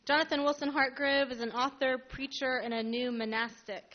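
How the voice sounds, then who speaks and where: Higher-voiced woman moderating, muffled recording. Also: fairly even intonation, moderate pace. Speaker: panel moderator. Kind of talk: introducing speakers, semi-formal prepared remarks. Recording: dull, band-limited live event recording.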